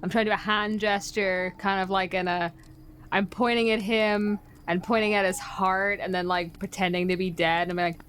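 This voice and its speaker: Woman, game player, casual chat, mid-pitched, faint background noise. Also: steady tone, normal speed, a bit bright.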